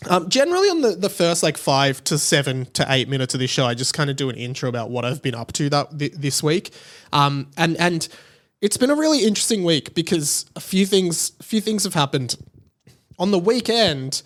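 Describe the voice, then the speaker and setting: A young man with an Australian accent talks as a podcast host in a voice pitched a little above average. He chats casually and informally, rambling along with a self-deprecating streak. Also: big pitch swings, fairly quick, fairly bright tone. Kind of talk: casual solo podcast chat.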